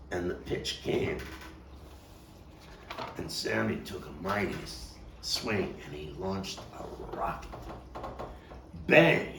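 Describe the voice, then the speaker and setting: A man performing a theatrical monologue as a haunted Brooklyn man looking back on his boyhood, halting and dramatic with long pauses. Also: medium pitch, vocal fry, heavily acted. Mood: excited. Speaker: playwright and actor. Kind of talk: theatrical monologue at a table read. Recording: roomy, distant, noisy live capture.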